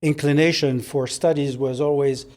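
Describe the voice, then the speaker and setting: An older man from Morocco whose first language is French, telling his life story slowly as a podcast guest, with hesitant pauses and fillers. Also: a little high, steady tone, a little mellow. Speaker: podcast guest, business owner. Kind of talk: relaxed interview storytelling.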